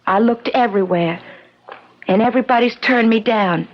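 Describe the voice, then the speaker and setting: An actress performs an anxious young wife with a fairly high voice. She is blunt and insistent, challenging whoever she addresses. The old radio drama recording sounds muffled. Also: moderate pace, close to an everyday voice. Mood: insistent, bitter. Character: anxious, sharp-tongued young wife of an accused man.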